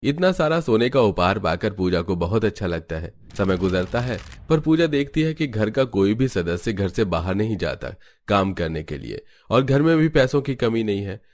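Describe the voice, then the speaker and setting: Deep-voiced man narrating a story. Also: big pitch swings, normal speed, hardly any pauses, a little mellow, dry recording. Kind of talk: scripted cartoon story narration.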